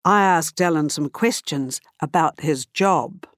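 A woman narrating an article and reading its interview questions in a plain, steady way. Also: low-pitched, unhurried, dry recording, clean studio sound. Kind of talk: scripted read-aloud.

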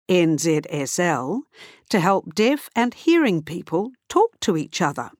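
A woman narrating an article and reading its interview questions in a plain, steady way. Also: fairly low pitch, no echo, clean studio sound. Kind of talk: scripted read-aloud.

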